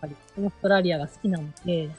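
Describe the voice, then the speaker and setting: A woman speaks as a guest in a casual, conversational style. Her pitch is average for a woman, and quiet music plays behind her. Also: steady tone, rapid-fire. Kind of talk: casual chat.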